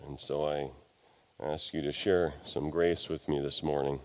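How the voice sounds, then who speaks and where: Congested deep-voiced male pastor. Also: little pitch movement, unhurried, darkish tone. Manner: folksy, self-deprecating humor. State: congested from a cold and sinus infection. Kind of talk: sermon with scripture reading.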